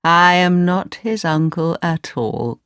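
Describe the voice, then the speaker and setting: A woman acts out a sly old wizard from a storybook, pretending to be a kindly uncle. He draws his words out very slowly and theatrically, with big swoops in pitch. The sound is close and dry. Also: lively intonation, fairly bright tone, clean tone, no room sound, exaggerated voice. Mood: sly, gloating. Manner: slow, drawn-out, theatrical delivery.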